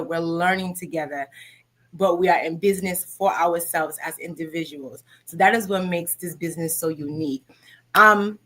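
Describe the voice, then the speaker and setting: A woman's voice: fairly low pitch, a bit bright. A woman in her thirties hosting a live talk show in an upbeat, motivational way, often adding "okay?". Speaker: host.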